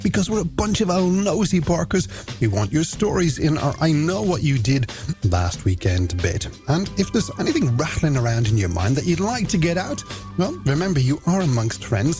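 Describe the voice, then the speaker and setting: An Irish man presenting a late-night music radio show, chatting companionably to listeners in scripted links. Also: fairly high pitch. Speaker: radio host. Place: radio studio. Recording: music bed behind the voice.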